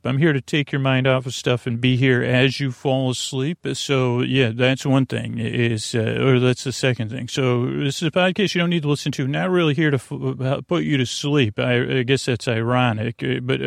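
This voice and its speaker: A man with a creaky voice hosting a sleep podcast, meandering and halting as he restarts his phrases. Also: medium pitch, dry recording, studio quality. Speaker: podcast host. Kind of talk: rambling sleep podcast monologue.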